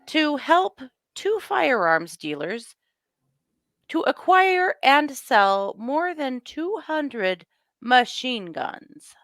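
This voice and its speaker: A hoarse woman co-hosting a podcast, telling a story in casual chat with wry joking asides. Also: sits high, a bit bright, dry recording. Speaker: co-host.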